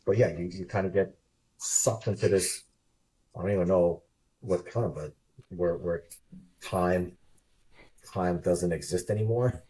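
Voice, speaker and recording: A man in his fifties with a very low, mellow voice, speaking as an interview guest over a video call. He talks in a relaxed, casual way and often repeats a word before the thought comes. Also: measured pace, long pauses, rough recording. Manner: relaxed, repeats words while thinking. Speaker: interview guest, retired Army officer.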